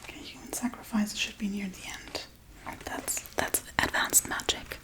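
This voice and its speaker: A woman playing a quirky, politely menacing Halloween witch in a breathy, soft-spoken ASMR role-play. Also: mid-range voice, steady tone, close to an everyday voice. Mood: matter-of-fact, chatty. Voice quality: breathy, mostly whispered. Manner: unhurried, chatty, politely menacing. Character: quirky Halloween witch casually preparing a human sacrifice.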